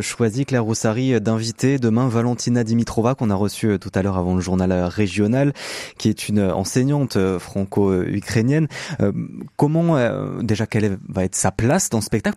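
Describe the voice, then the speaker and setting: Male radio host, dark mellow tone, close dry sound, halting conversational questions. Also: on the low side, no room sound. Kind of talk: conversational live radio interview.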